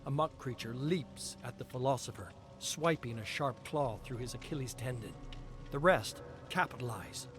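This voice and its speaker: A man streaming, reading game lore aloud in a slow, deliberate storytelling delivery. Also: mid-range voice. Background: clear background music.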